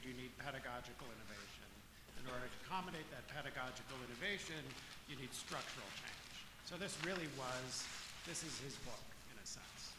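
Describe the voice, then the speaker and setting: A man joining a summit discussion in a conference room, halting and repeating words. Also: mid-range voice. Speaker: panel participant. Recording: hall reverb with audible hiss.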